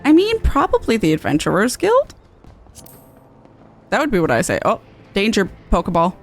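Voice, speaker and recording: Animated woman streaming a game. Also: mid-range voice, moderate pace, soft background music. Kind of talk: casual live gameplay chat.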